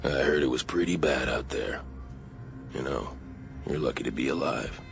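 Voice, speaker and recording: An actor playing a friendly, gossipy bartender in a video game, with a deep gravelly voice and a slow, confiding delivery. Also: male voice, faint music behind. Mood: sympathetic, chummy. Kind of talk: video game cutscene acting.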